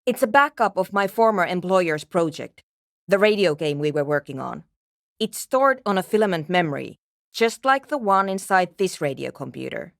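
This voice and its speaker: A woman voice actor playing a driven, intellectual woman programmer in a video game, with a brisk, matter-of-fact, technical way of talking. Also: fairly low pitch, sing-song, gravelly, dry recording, clean studio sound. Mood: businesslike, impatient. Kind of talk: scripted game character dialogue.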